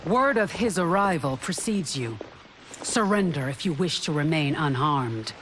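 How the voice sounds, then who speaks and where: A woman's voice: sits low, lively intonation, unhurried, background noise. An actress playing a haughty, scornful royal guardswoman in a video game, imperious and accusing. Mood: commanding. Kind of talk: dramatic video game acting. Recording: music behind.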